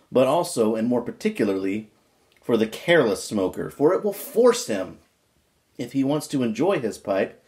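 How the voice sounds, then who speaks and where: A man reads aloud from an old book in an amateur dramatic style, at a middling pitch. He leans on certain words for emphasis. Now and then he drops into a wry, muttered aside of his own. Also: darkish tone.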